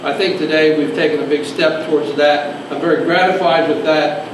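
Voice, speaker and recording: A man's voice: on the high side, steady tone, normal speed, far from the mic. A man speaking as a county commissioner at a press conference, earnest and grateful. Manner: earnest, thanking partners. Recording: echoey room, mic from afar, noisy.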